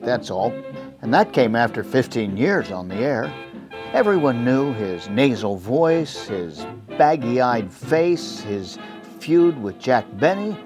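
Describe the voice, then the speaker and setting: A man in his sixties hosting with a scripted but chatty, conversational delivery to camera. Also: medium pitch. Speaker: host.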